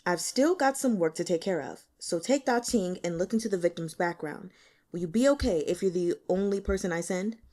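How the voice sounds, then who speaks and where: A woman's voice: lowish voice, brisk, mellow, lightly acted. A woman voicing a brash, cocky male boss in an audiobook, flippant and casually bossy as he teases and lectures. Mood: brisk, pointed.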